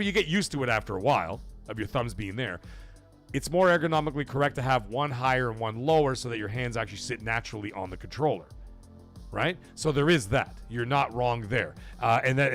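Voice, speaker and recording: A man hosting a gaming livestream, reading super chats aloud and giving emphatic, profane opinions, swinging loud for donation shout-outs. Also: medium pitch. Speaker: livestream host.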